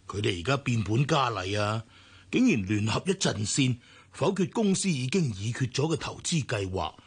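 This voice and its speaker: A husky, rough-edged voice with a lively, swinging pitch, a worried middle-aged director who keeps sighing and urging. He speaks with a Hong Kong Cantonese accent in a radio drama. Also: moderate pace. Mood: urgent, pleading. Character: anxious, pleading older company director.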